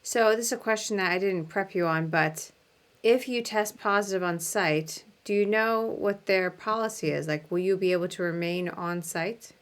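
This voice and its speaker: Podcast host lady, lowish voice, friendly and easy, lots of mm-hmms, on a video call. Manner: friendly, quick affirmations. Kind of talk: casual interview hosting.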